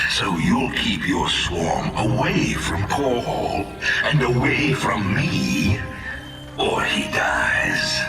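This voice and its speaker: A man's voice: low-pitched, vocal fry, exaggerated voice. A man playing a smug, threatening tyrant in a game, with an imperious, gloating manner and an echoing, distant sound.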